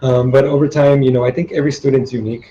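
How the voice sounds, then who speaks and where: Mellow, relaxed male English tutor. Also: medium pitch, rapid-fire, lo-fi sound, a little hiss or hum. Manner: relaxed, friendly, chatty with "um" and "you know". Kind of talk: casual conversation.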